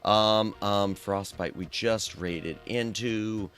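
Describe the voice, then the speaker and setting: A man's voice: on the low side, takes it slowly, a bit bright. A man livestreaming, tired and straining his throat, chatting casually in a playful, rambling way and humming to himself. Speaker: streamer.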